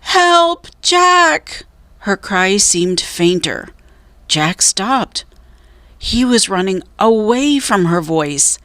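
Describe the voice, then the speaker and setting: A woman narrating a children's storybook as a reader, with deliberate, suspenseful storytelling. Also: medium pitch, lively intonation, no room sound.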